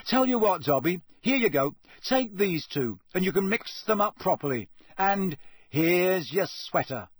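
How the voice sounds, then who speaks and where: A man's voice: high-pitched, lively intonation, unhurried, dry recording, voice pushed up. A man voicing a breezy, generous teenage boy for an audiobook, talking in a colloquial way. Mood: amused, generous. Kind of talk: audiobook character voice.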